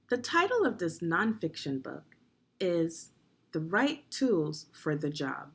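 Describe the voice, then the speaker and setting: A woman narrating an instructional children's read-along as a teacher, phrasing deliberately and guiding young listeners through the text. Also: mid-range voice, a bit bright, husky. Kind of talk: instructional read-aloud of a children's nonfiction book.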